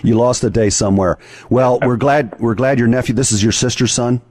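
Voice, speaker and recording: A man's voice: mid-range voice, fairly even intonation, a little fast, darkish tone, no room sound. A man hosting a radio interview in a conversational style.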